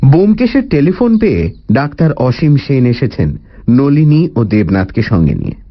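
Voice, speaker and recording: A man's voice: mid-range voice, big pitch swings, moderate pace. A man narrating a scripted story dramatically, with suspenseful, literary phrasing. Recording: dull audio under clear music.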